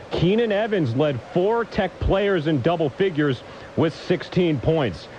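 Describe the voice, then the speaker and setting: Male sports broadcaster, higher-pitched, polished scripted update read with punchy phrasing, slightly muffled lo-fi radio sound. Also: a little mellow, a little hiss or hum.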